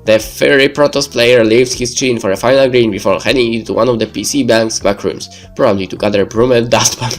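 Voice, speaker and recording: A male let's player reads game narration aloud at an ordinary mid pitch. Soft game music runs underneath his voice. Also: brisk, hardly any pauses. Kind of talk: reading visual novel narration aloud.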